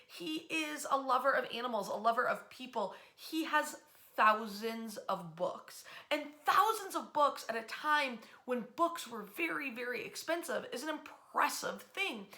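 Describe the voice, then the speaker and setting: An American woman telling history stories in an informal, conversational way, with dramatic pauses and emphasis. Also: sits high, sharp tone. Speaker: history storyteller, host. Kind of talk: informal narrated history storytelling. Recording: echoey room sound.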